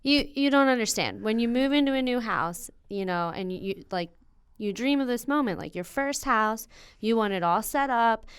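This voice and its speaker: Crisp, very smooth female co-host. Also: on the high side, expressive, moderate pace, no room sound. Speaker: podcast co-host. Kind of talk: casual banter and interviewing.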